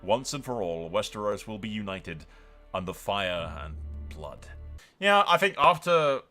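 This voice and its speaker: A man's voice: lowish voice, sing-song, measured pace, bright, forceful tone, soft background music. A man hosting a gaming video, giving casual, improvised commentary that drifts into dramatic storytelling, sweary and speaking straight to viewers.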